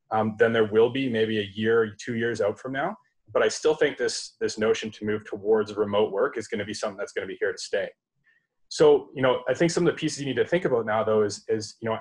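A man in his thirties on a laptop video call, answering as a panelist in an online discussion, thoughtful and full of fillers and restarts. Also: on the low side. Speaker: panelist, startup executive. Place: home room, indoors. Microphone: laptop webcam video call.

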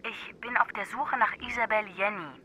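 An actress playing a polite, slightly hesitant colleague on a telephone line in a German radio crime drama. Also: female voice, on the high side, fairly even intonation, normal speed. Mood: polite, tentative. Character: female colleague phoning from abroad, hesitant and polite. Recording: sharp phone line, faint noise.

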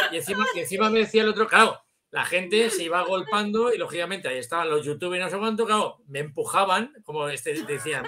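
A man's voice: a little high, bright, forceful tone, slight background noise. A middle-aged man with a Spanish accent from Spain, chatting casually and telling anecdotes in colloquial language over a video call. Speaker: guest. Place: indoor room, on a video call.

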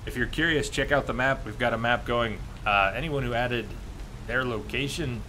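A man's voice: medium pitch, normal speed, pushed and bright. A man in his thirties hosting a video game livestream, musing casually as he chats with viewers. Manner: musing, chatting with viewers between game remarks. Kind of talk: casual gaming stream chat. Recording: clear background noise from game ambience.

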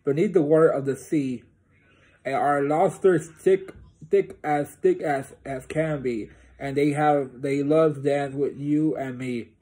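A storybook sea turtle, read aloud by a man, reciting verse slowly and haltingly. The voice is mellow and a touch high. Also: slightly rough. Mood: sing-song.

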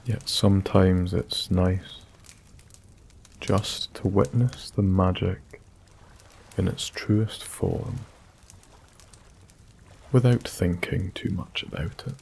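Very slow, soft-spoken Scottish man. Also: low voice, creaky. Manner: slow, soothing, hushed delivery with long pauses. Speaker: bedtime story narrator. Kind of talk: soft-spoken ASMR bedtime story narration. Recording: faint background noise. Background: soft nature ambience.

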